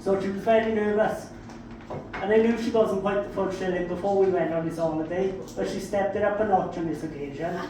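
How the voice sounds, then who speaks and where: A man with a South Welsh accent works a comedy club stage in a high-pitched voice, spinning sweary, self-mocking stories and hitting each punchline hard. The sound comes from a distance and rings through a very echoey room. Steady room noise sits underneath. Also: brisk. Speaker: stand-up comedian. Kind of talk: stand-up comedy storytelling.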